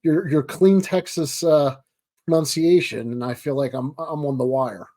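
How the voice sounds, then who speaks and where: A man's voice: a little high, normal speed, mellow. A chatty man in his thirties with a Baltimore accent, hosting from his home office over a video call. He jokes easily and trips over his words, repeating himself as he goes. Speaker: host. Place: home office with framed pictures. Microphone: webcam video call.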